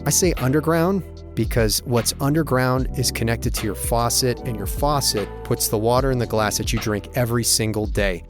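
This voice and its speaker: A man's voice: mid-range voice, normal speed, music in the background. A man hosting a podcast, talking in a friendly, chatty way as he introduces the show and his guest. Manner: friendly, talkative, reminiscing. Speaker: podcast host.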